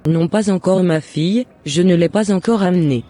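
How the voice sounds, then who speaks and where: A man voicing a jealous, scheming mother and neighbour in an animated moral drama, with deliberate scripted phrasing. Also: high-pitched, lightly acted. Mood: aggrieved, plotting. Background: faint music.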